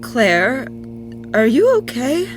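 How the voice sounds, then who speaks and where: Sarcastic handy young woman, lively pitch, audio drama, bit of hum behind. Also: slow. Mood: apologetic, concerned. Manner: dry, sarcastic. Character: wry, practical young woman mechanic. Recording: faint hum and noise.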